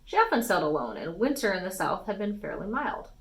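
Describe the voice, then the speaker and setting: A woman reading a fantasy novel aloud as an expressive storytime narration. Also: mid-range voice, fast talker. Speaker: storytime reader.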